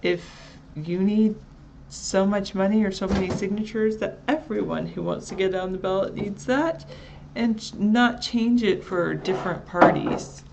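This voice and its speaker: A woman co-hosting a casual live chat show, relaxed and chatty, answering viewers by their usernames. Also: medium pitch, measured pace, gravelly, background noise.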